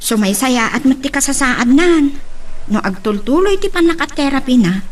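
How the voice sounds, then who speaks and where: A woman's voice: high voice, animated, normal speed, slight background noise. A woman with a Filipino accent playing a concerned, gossipy member of a household in an audio drama, fretful and conversational. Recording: distant from the microphone.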